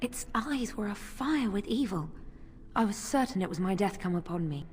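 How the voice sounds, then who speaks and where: A woman's voice: medium pitch, lively intonation, moderate pace, a little mellow, slight background noise, natural voice. A woman playing an anxious, earnest young mage in a video game, speaking with hesitant worry. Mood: shaken. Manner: worried, hesitant. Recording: long hall reverb.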